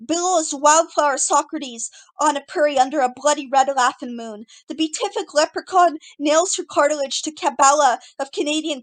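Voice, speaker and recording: A woman reading her own surreal poetry aloud in an incantatory, list-like way, stumbling over some words. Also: high-pitched. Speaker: poet reading own work.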